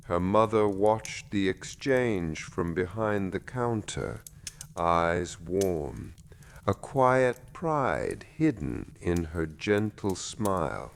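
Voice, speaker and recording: A man reading a bedtime story in a gentle, measured, soothing way with deliberate pauses. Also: low voice. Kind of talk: slow soothing scripted narration.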